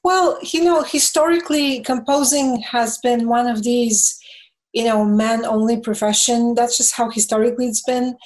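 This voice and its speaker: A woman in her fifties from Bulgaria, speaking English with a Bulgarian accent, answers interview questions over a video call from home, thoughtful and often pausing with "you know". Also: on the high side, steady tone, low-quality recording. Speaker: film and game composer, guest. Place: home room with bookshelves. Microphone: webcam on video call.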